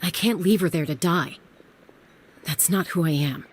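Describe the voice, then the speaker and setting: A woman's voice: on the low side, normal speed, slight background noise, close to an everyday voice. A woman playing a proud, headstrong older sister and young fighter, defiant and curt, in a video game. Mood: resolute. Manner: defiant, self-assured, curt.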